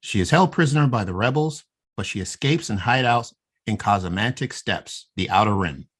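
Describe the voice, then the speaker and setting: Husky low-voiced man co-hosting a podcast. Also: animated, moderate pace, a bit bright. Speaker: podcast co-host. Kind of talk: casual podcast chat and read recap.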